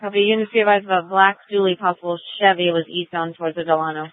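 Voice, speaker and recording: A woman working as a police dispatcher, speaking briskly over a police radio. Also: lowish voice, flat delivery, no echo. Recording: phone-like police scanner radio audio.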